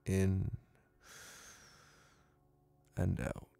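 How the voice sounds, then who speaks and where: A man acting as a confident, dominant young college hypnotist in an ASMR roleplay, speaking softly with soothing command. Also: low-pitched, monotone, gravelly, heavily acted. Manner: soft, commanding, soothing, deliberate. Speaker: voice actor.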